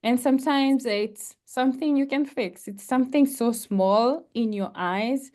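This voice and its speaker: A woman's voice: high-pitched, a little slow, mellow. A young adult woman with a Kenyan accent, an international student speaking earnestly at a panel, with frequent fillers and restarts. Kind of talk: presentation and discussion.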